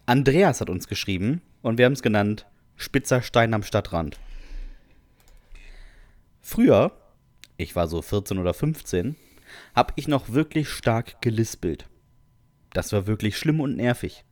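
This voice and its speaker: A man's voice: on the low side, big pitch swings, measured pace, long pauses, darkish tone, no room sound. A man co-hosting a podcast, reading out listener stories in an amused, informal way. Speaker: podcast co-host.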